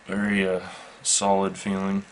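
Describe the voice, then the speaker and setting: A man with a gravelly, even-toned voice walks through a product casually and without a script. His delivery is slow, measured and halting, with a matter-of-fact manner. There is faint noise behind him. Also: deep voice, low-quality recording. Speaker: product reviewer. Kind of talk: casual unscripted product demonstration.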